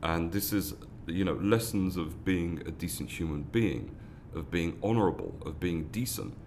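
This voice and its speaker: A man's voice: sits low, normal speed, a little mellow, slight background noise. A man, a foundation founder giving earnest interview answers, often restarting and repeating words. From London, England. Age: 30s.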